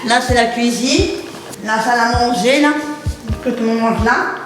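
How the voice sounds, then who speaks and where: Casting: woman, higher voice, short descriptive phrases, very echoey room. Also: moderate pace, over music. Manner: pointing things out in short phrases. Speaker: resident showing her home. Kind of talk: home tour. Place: indoors at home.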